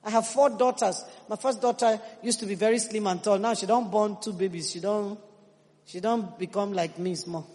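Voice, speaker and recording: A woman's voice: medium pitch, steady tone, moderate pace, darkish tone. A Nigerian woman in her fifties preaching a sermon, emphatic and humorous, with a Nigerian accent. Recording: long reverb in a big hall.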